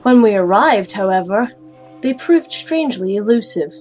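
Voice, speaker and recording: A woman's voice: mid-range voice, animated, normal speed, close to an everyday voice. An actress playing a determined female alchemist warrior, serious and resolute, in a scripted fantasy audio drama. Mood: solemn narration. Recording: music under the voice.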